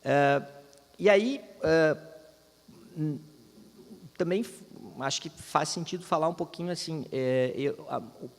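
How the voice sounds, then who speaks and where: Man lecturing in an echoing hall. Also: fairly high pitch. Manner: thoughtful, many restarts and fillers. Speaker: guest speaker, veteran journalist. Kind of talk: talk to university students. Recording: long hall reverb.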